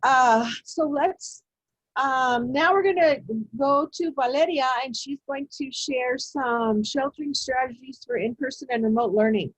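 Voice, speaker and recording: A woman presenting a teacher-training webinar, talking in a measured way with many fillers and restarts. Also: high voice, breathy edge, no echo, poor audio. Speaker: education presenter. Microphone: video call.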